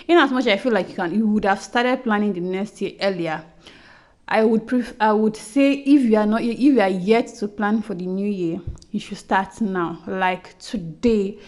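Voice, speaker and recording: A woman's voice: medium pitch, a little mellow. A young adult woman casually talking to her listeners in a personal audio journal, chatty and often repeating herself. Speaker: podcast host.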